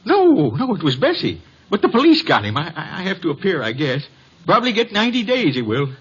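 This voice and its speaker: Elderly befuddled messenger for radio mystery, very raspy, lively swooping delivery, rambling and folksy. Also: male voice, high voice, a little fast, heavily acted. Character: muddled, folksy old messenger, a doddering gentleman. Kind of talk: 1940s-50s American radio acting. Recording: dull old radio recording.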